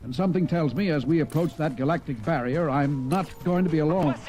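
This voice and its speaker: A man's voice: on the high side, a little fast, lightly acted. A man playing a wry, worried middle-aged ship's doctor in an old-time radio drama, speaking in a dry, articulate way. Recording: vintage radio broadcast, music behind.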